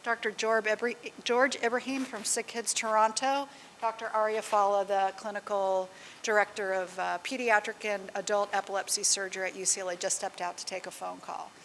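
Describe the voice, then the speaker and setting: A woman moderating a live session, loud, excitable and enthusiastic. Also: medium pitch, brisk. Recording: echoey hall, faint noise.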